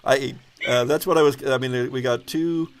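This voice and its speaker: A man's voice: mid-range voice, steady tone, normal speed, a loud crowd. A man acting as game master, casually improvising and thinking aloud with hesitant phrasing. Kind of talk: casual improvised game narration and table talk.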